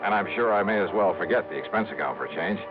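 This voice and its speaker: A tough, wry insurance investigator in old-time radio style. His voice is fairly low and swings animatedly through slangy, sardonic lines, on a slightly dull vintage recording. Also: animated, fast talker, over music. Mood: assured. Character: hardboiled, wry male freelance insurance investigator. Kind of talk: 1950s radio drama acting.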